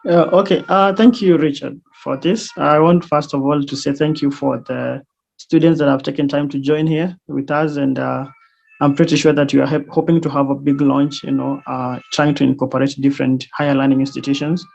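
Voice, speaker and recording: A man's voice: a little high, steady tone, a little fast, vocal fry, darkish tone. A man, hesitantly introducing people in an online meeting. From Kenya. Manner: hesitant, many fillers and restarts. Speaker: student organizer. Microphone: video call. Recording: dull lo-fi video call audio.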